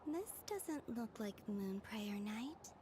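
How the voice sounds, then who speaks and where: A woman voicing a soft-spoken, puzzled young woman in a fantasy video game, often musing to herself. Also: on the high side, sing-song, slow pace, noisy background. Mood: hushed wondering. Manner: musing aloud to herself in hushed, breathy wondering. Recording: game music behind the voice.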